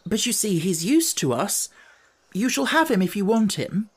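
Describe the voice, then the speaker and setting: Reader doing a clever calm kid, pitched up a bit, talking people round. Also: normal speed, husky. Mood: persuasive. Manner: steady, persuasive. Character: clever schoolboy keeping calm.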